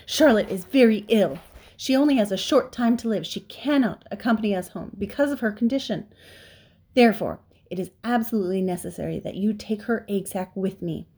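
A woman's voice: medium pitch, a little fast, dark tone, husky. A woman voicing a young male pig in a storybook reading, emotional, urgent and pleading.

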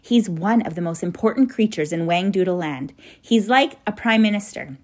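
A woman's voice: mid-range voice, animated, moderate pace, a little mellow, close to an everyday voice. Woman reading as a grave, kindly male professor. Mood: explaining, concerned. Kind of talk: children's storybook character voice.

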